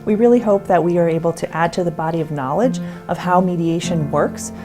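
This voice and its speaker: Woman program director: quick, businesslike talk, low voice, music behind. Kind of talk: interview in a produced video. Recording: music bed under the voice.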